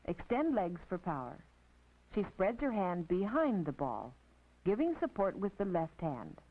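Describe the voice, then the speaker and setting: American woman, instructional narrator, thin band-limited old film sound, expressive pitch movement, measured scripted phrasing, precise teacherly delivery. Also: narrow, tinny audio. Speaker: narrator and physical education instructor.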